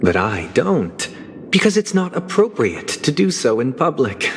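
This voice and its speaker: An actor playing a composed, fastidious young dignitary who speaks formally and precisely with pious menace in a video game cutscene. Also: male voice, medium pitch, sing-song, background noise. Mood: cool, fastidious. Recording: studio voice under very loud music.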